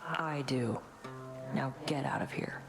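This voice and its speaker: A woman's voice: extremely low pitch, takes it slowly, creaky, full character voice. A woman playing a brash, combative young witch rival in a video game, blunt and cocky. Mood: taunting. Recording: loud music underneath.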